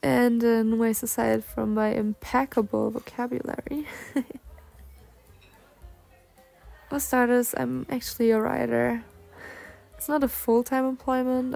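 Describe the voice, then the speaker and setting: A woman's voice: on the high side, steady tone, normal speed, gravelly, mellow, faint music behind. A young woman voicing a polite, bookish, insecure cougar-girl on a date in an ASMR roleplay, speaking formally with flustered hesitations. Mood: polite, relieved.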